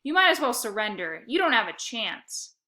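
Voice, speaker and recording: A feisty, eager kid from a storybook, played by a woman, forever competing with a sibling. The voice is bright and forceful, lifted a little high, with emphatic, teasing delivery. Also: big pitch swings, normal speed. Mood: defiant. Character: eager, feisty child narrator, a dog-loving competitive sibling.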